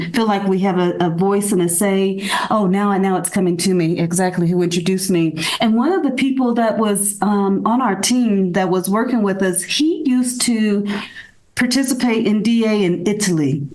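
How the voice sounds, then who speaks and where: An American woman chats as a podcast guest, telling her story with frequent ums and restarts. Her pitch sits in an ordinary middle range. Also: unhurried, darkish tone, rough recording. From United States. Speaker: podcast guest, committee chair. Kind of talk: casual interview conversation.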